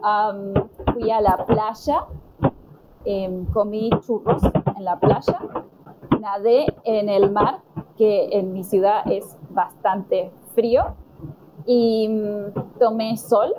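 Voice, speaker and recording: An Argentine woman answering conversation questions slowly and deliberately, pausing with fillers. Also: medium pitch, very noisy. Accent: Argentine Spanish. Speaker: interviewee. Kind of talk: slow, careful conversation answers.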